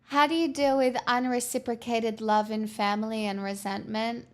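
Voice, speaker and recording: A woman's voice: a little high, little pitch movement, normal speed. A woman live streamer chatting casually and candidly with viewers, answering their messages with frequent restarts and the odd swear.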